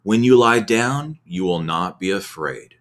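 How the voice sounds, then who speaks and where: Man, low voice, very slow pace, widely varied intonation, devotional ASMR scripture reading. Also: expressive. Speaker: scripture reader.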